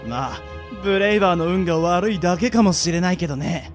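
Man playing a flippant high-voiced young adventurer. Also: fairly quick, soft background music, close to an everyday voice. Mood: wry. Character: flippant, easygoing young male adventurer companion. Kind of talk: comedic radio drama acting.

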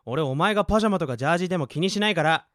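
A man's voice: on the high side, lively intonation, racing, fairly bright tone, no echo, clean studio sound. A man playing an exasperated but caring teenage schoolboy in an anime-style radio drama, brusque and easily flustered.

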